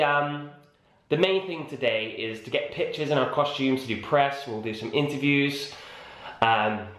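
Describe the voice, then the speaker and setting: A man's voice: medium pitch, roomy sound. Chatty young man vlogging to camera. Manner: chatty, animated, lots of "like" fillers. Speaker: vlogger and stage actor. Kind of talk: casual vlog chat. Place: hotel room and corridor indoors, garden outdoors. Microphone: camera held at arm's length.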